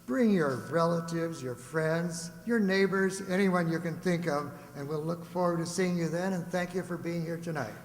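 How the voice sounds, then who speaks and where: A man's voice: on the high side, normal speed, few pauses. A man announcing live on stage for a radio-play group, folksy and conversational, with rambling asides in an echoey, noisy hall. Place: reverberant hall before a live audience.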